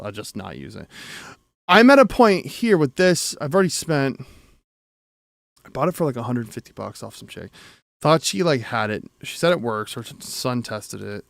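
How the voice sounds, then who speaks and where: A man's voice: a little high, creaky, no room sound, studio quality. A man chatting casually as he tinkers on a livestream, thinking aloud in a rambling, self-interrupting way with casual swearing. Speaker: streamer and audio tinkerer. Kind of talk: casual livestream chat while repairing gear.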